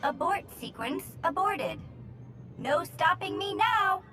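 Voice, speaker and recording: An actress playing a mischievous demolitions prankster in a video game, theatrical, teasing and playful. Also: female voice, very high voice, very animated, takes it slowly, over music, heavily acted. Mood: gleeful. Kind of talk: video game cutscene acting.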